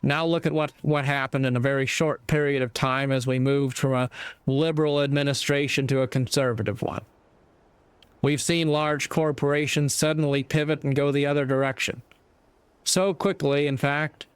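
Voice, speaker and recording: An American man speaks slowly and deliberately in a smooth, clear voice. He sounds like a commentator delivering a reflective monologue, pausing between short, measured phrases. His pitch sits a little high for a man. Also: no room sound. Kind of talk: reflective opinion monologue on politics.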